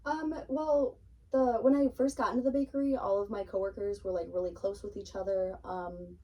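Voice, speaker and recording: A woman's voice: medium pitch, fairly even intonation, normal speed, far from the mic, lo-fi sound. A young woman chatting casually and informally as a podcast guest, in a conversational, filler-heavy way. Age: young adult. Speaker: cake decorator being interviewed.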